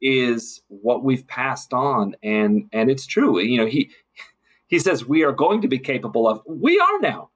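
A man speaking as a guest in a conversational podcast discussion, reflective and emphatic, with halting phrasing. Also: medium pitch, sing-song, slightly rough. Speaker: guest, city council member.

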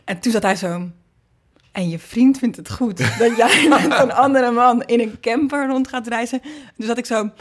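A woman's voice: a little high, normal speed. A woman talking casually as an interview guest, telling anecdotes and acting out bits of dialogue. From Netherlands. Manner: informal, anecdotal, re-enacts dialogue. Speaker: singer and producer, podcast guest.

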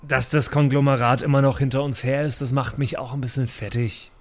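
A man's voice: mid-range voice, fairly even intonation, fairly quick. A man chatting casually as a player in an improvised tabletop role-play show.

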